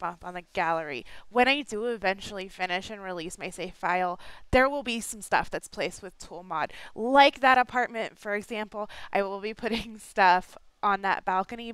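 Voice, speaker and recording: A woman's voice: mid-range voice, fairly bright tone, clean tone, no echo, rough recording. A young woman with a stuffed-up nose giving a rambling, apologetic casual voice-over, full of fillers and restarts, recorded close on a home mic. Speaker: game builder narrating a speed build.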